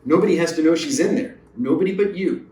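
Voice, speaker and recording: A man's voice: fairly high pitch, fast, dark tone, slight background noise, close to an everyday voice. A man voicing a kindly, persuasive father, patient and coaxing, in a children's story with a roomy, distant recording. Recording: roomy echo, distant mic.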